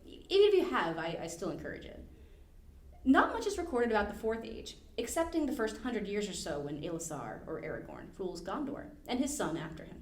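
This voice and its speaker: A woman's voice: mid-range voice, sing-song, fairly quick. A woman hosting a library podcast, reading a scripted overview with casual, wry asides. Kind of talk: scripted narration of lore. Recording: roomy echo.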